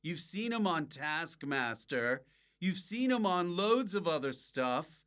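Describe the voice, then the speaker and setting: A man hosting a podcast in casual comic chat, with a deadpan, offbeat manner. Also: on the high side, slow pace, smooth. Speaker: podcast host.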